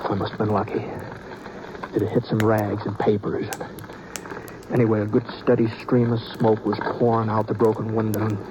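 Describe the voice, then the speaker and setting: A calm, plainspoken drifting gunman, voiced by a man tells his tale in a slow, drawling, understated way. His voice sits low, and a clear hiss runs under the old radio recording. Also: natural voice. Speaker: narrator. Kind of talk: 1950s radio drama narration.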